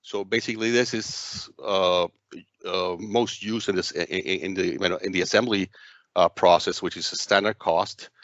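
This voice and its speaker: A man narrating a software demo walkthrough as its presenter, speaking in a halting, hesitant way with frequent stumbles. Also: low-pitched, fairly even intonation, no echo, highs cut off.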